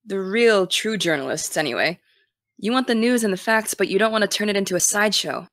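A woman's voice: mid-range voice, brisk, a bit bright, dry recording, natural voice. Anxious, caring teenage girl played by a woman, dry sound. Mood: uneasy, wry. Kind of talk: naturalistic audio drama dialogue.

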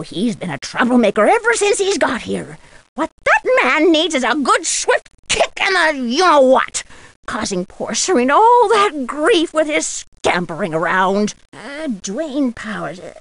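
A woman's voice: sits high, gravelly, fairly bright tone, dry recording, heavily acted. A woman playing an eccentric, feisty, muddled old lady in a video game, with a quirky, theatrical manner. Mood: indignant, then musing, feisty. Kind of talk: video game character dialogue.

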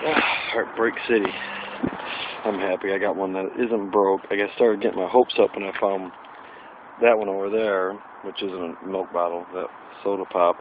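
A man chatting excitedly while digging for old bottles in the woods, recorded on a handheld camera. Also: deep voice, moderate pace. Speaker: metal detecting vlogger. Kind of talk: casual outdoor vlog narration. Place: wooded area outdoors. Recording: tinny lo-fi camera audio with noise.

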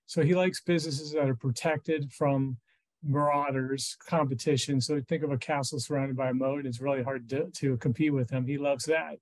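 A man's voice: medium pitch, fairly even intonation, normal speed, a little mellow, low-quality recording. An American man in his fifties giving informal, explanatory commentary as a financial expert on a video call from home. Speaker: financial journalist interviewed as expert. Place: small furnished room at home.

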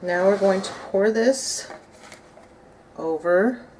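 A woman's voice: medium pitch, takes it slowly, a little mellow, rough recording. Woman, 30s, home cooking vlog, chatty, upbeat, kitchen noise, clinking utensils. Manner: chatty, upbeat, thinks aloud while cooking. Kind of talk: casual cook-along narration. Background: clinking cutlery and pots.

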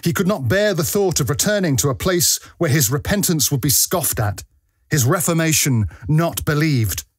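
Higher-pitched man narrating a dramatic story. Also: animated, moderate pace, husky, dry recording, studio quality. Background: music.

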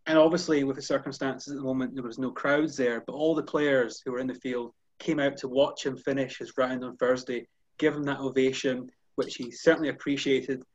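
A man's voice: medium pitch, fairly even intonation, no echo, lo-fi sound. Scottish man on a dry video call. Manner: fluent, measured, many "you know" fillers. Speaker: golf journalist. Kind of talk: casual video-call chat. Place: indoor room with curtains behind. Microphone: headphones on a video call.

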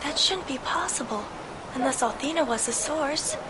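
A woman playing a solemn, mysterious young otherworldly woman in an anime game, formal, measured and earnest. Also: high voice, heavy background noise, close to an everyday voice. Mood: wondering. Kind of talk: anime game cutscene acting.

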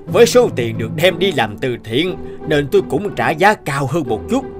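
A well-off merchant in an animated tale. He sounds businesslike yet generous and appreciative. Faint music accompanies the voice. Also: a little high, moderate pace. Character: generous, well-to-do male art-buying merchant. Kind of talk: animated cartoon dialogue.

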